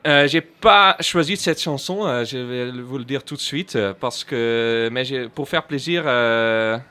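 A man's voice: mid-range voice, unhurried, bright, forceful tone, clear, resonant tone, no room sound. A man from the Netherlands speaking French with a Dutch accent, chatting playfully on a talk show with frequent fillers and laughs. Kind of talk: chatty radio column.

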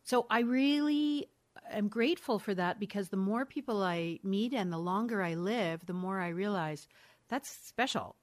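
A middle-aged woman speaks as a podcast guest in a candid, reflective way. She circles back and restarts her sentences as she thinks aloud, in a voice of ordinary middle pitch. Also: moderate pace. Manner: candid, thoughtful, frequent self-corrections and laughs. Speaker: interview guest. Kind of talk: reflective conversational interview.

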